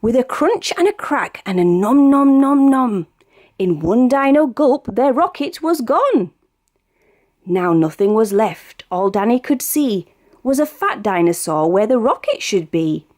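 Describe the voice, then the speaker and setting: Female British storyteller for a rhyming picture book; expressive, sing-song delivery with a wide pitch range. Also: a little slow. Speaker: storybook reader. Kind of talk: read-aloud of a rhyming children's picture book.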